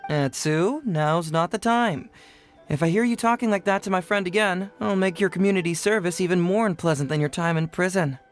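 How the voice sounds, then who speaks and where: Young male detective for a game , male actor: breezy, smooth-talking needler, quick-witted and polite. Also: high-pitched, soft background music, lightly acted. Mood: firm. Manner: playfully needling, polite and friendly. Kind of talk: video game voice acting.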